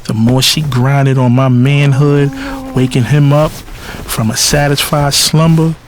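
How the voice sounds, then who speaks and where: A man's voice: mid-range voice, fairly even intonation, slow, slight background noise, natural voice. A man playing a cocky, blunt playboy who narrates his affair in slangy, nonchalant, sensual fashion for an audio drama. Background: music with guitar.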